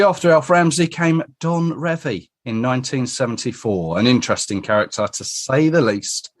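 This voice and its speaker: A man speaks as a podcast host in a conversational interviewing style. His pitch is very animated, rising and falling often across his sentences.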